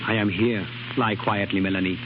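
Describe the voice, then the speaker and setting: A man's voice: fairly low pitch, little pitch movement, fairly quick, natural voice. An actor in a 1930s radio drama playing a suave, scheming guardian, clipped and controlled in his manner. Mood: cold reproach. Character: suave, scheming Frenchman, stern guardian of young singer. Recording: muffled, crackly 1930s radio broadcast.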